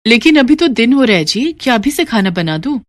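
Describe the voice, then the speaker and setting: A woman voicing a mild, reasoning farmer's wife in an animated moral story. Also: a little high, fairly quick, no echo, clean studio sound. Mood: mildly protesting.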